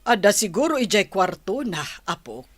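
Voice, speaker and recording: A woman's voice: mid-range voice, very animated, moderate pace, faint music behind. A woman playing an affectionate elderly grandmother in an Ilocano radio comedy-drama. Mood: thoughtful.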